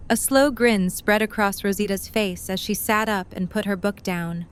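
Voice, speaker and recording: Female story narrator, medium pitch. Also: fairly even intonation, normal speed. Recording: faint background ambient noise.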